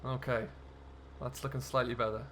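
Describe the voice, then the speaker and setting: A man's voice: mid-range voice, flat delivery, normal speed, a bit bright, slight background noise. A man hosting a gaming video, giving casual, chatty role-play commentary and talking himself through the driving as he plays.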